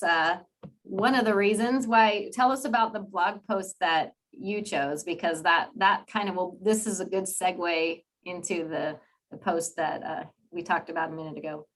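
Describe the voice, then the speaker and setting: A woman's voice: fairly low pitch, fairly even intonation, normal speed. Chatty, encouraging woman host. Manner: warm, chatty, encouraging, with frequent fillers. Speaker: interview host. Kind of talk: casual interview.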